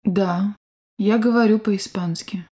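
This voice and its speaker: Female course voice, soft dark tone, careful even delivery for drill prompts and answers. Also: medium pitch. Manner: clear, deliberate, evenly paced. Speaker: language course speaker. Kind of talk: scripted language drill reading.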